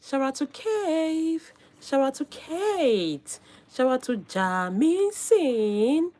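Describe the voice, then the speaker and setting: A woman hosting a live stream, her voice a little hoarse from a cold. She talks at an unhurried pace, and her pitch swings widely as she stays upbeat and laughs often. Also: sing-song, warm tone. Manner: upbeat, calls out viewer names with frequent laughs. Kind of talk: casual live stream chat.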